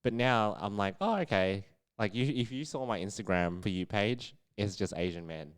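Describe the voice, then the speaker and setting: A man's voice: lowish voice, animated, normal speed, clean tone. A young man, co-hosting a podcast in a chatty, filler-heavy way. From born in the Philippines, raised in Australia. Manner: chatty, lots of fillers and laughs. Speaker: podcast co-host. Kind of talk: casual chat.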